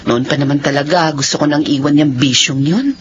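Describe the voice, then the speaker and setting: A woman's voice: deep voice, a little fast, noisy background, close to an everyday voice. A woman playing a tender, emotive seaman's wife in a Philippine radio soap, speaking with hesitant exclamations. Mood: happy, earnest. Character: Judith, tender seaman's wife with a regretful past. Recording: dull lo-fi radio sound.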